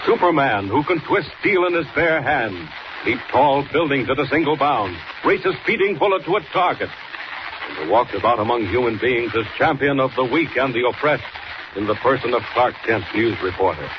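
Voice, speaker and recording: A man's voice: mid-range voice, expressive, normal speed. Casting: male narrator for old-time radio adventure, dramatic and suspenseful, dull hissy period recording. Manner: declamatory, suspenseful. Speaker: announcer and narrator. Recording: muffled, noisy 1940s radio recording.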